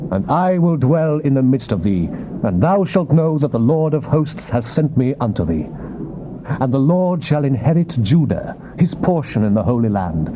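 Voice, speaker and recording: A man giving a solemn, declamatory dramatized reading of the King James Bible as scripture narrator. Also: mid-range voice. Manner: solemn, declamatory, theatrical delivery. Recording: noisy old cassette tape.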